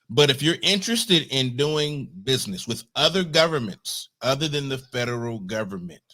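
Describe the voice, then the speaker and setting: An American man hosting an interview, introducing his guest in a friendly, relaxed way with short restarting phrases. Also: medium pitch. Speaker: host.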